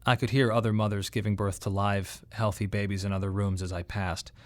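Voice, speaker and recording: A man's voice: on the low side, level tone, fairly quick, no room sound, lightly acted. Weary, wry male actor as bereaved father, dry sound. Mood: weary recollection. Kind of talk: radio drama monologue, first-person storytelling.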